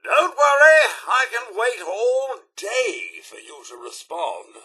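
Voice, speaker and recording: Casting: fussy British robot butler, game companion, high-pitched and wildly animated, formal and eager to serve. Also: male voice, slow, breathy edge, heavily acted. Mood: eager, polite. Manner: formal, deferential, theatrical. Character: prim, loyal robot butler, fussy, cheerful and wistful. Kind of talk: scripted video game companion dialogue.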